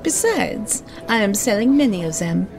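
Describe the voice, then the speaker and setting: Imperious French madame over music. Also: female voice, medium pitch, sing-song, moderate pace. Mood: grand, haughty. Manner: formal, grandly poised. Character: wealthy, imperious French madame, suave art collector. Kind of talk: full-cast audio drama acting.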